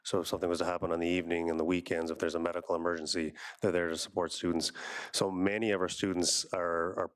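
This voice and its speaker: Man, board staff, very low voice, measured explaining, dry sound. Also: level tone, no echo. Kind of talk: meeting answers.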